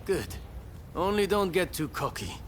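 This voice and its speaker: A man playing a brisk, blunt samurai commander in a video game cutscene, authoritative and matter-of-fact. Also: high voice, sing-song, slight background noise. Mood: encouraging.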